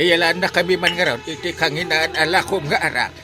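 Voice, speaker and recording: An actor playing a jolly, flirtatious village captain in a radio comedy drama, stammering and giggling. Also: male voice, fairly even intonation, brisk, music in the background, lightly acted. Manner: giggly, stammering "eh", big leaps in pitch.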